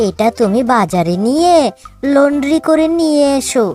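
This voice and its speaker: A woman's voice: sits high, animated, moderate pace, mellow. A woman voicing a bossy, demanding young animal wife in a cartoon, with an imperious, nagging manner. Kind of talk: Bengali cartoon voice acting. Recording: music under the voice.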